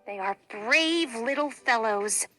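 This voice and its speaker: A brave, kind young storybook heroine, acted by a woman reader, high and bright in voice. She is earnest and impulsive, speaking in exclamations. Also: animated, takes it slowly, faint music behind, exaggerated voice, poor audio.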